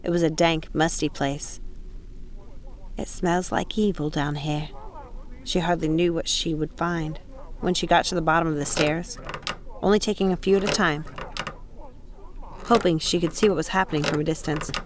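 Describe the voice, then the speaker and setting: A woman's voice: sits low, fairly even intonation, moderate pace, background noise. A woman reading an audiobook as a dramatic, suspenseful narrator. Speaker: audiobook reader. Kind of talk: dramatic scripted story narration.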